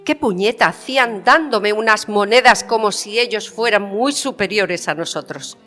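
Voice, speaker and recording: A woman's voice: mid-range voice, normal speed, fairly bright tone, natural voice. An actress playing a proud, bitter, passionate queen, speaking in an emphatic, theatrical manner in an audio drama. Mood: indignant, haughty. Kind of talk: dramatised historical interview, audio fiction. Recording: long reverberant hall sound. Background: violin music.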